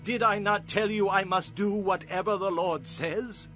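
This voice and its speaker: An actor playing a solemn, resolute prophet who declaims oracles in a dramatized audio scripture. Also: male voice, high-pitched, fairly even intonation, fairly bright tone. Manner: declamatory, measured poetic delivery. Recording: dull lo-fi audio with music. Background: music.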